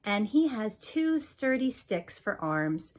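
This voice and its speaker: A woman's voice: medium pitch, very animated, slow. A woman hosting a children's storytime, talking casually and reading a picture book aloud. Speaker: children's museum staff storytime host.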